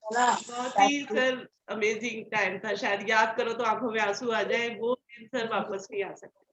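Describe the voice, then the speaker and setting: A woman's voice: a little high, steady tone, a little slow, fairly bright tone. Chatty woman with a sore throat. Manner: chatty, playful. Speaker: discussion guest. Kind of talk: casual reminiscing. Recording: echoey, dull lo-fi call with faint noise.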